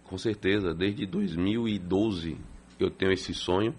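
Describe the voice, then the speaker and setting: A man's voice: low voice, fairly even intonation, unhurried, a little mellow, a little hiss or hum. A man, answering interview questions plainly and steadily as a city council president. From Salvador, Brazil. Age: 50s.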